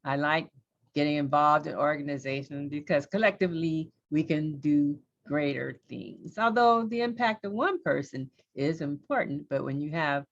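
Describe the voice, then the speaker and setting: A middle-aged woman from Los Angeles, a judge, telling her career story on a panel in a candid, lively way. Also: low voice, normal speed, lo-fi sound.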